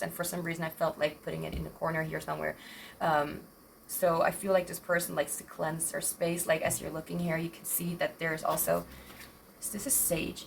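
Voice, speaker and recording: Rambling young woman, slightly raspy. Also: deep voice, fairly bright tone, a little hiss or hum. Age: 20s. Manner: hesitant, rambling, self-interrupting with many fillers. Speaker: tarot reader. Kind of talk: rambling tarot reading.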